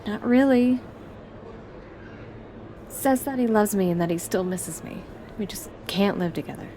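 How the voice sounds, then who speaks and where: A woman's voice: medium pitch, measured pace, mellow, a little hiss or hum, natural voice. An actress in her twenties playing a guarded, defensive young woman in a film drama, talking in a conversational, evasive way. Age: 20s. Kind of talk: naturalistic film drama dialogue.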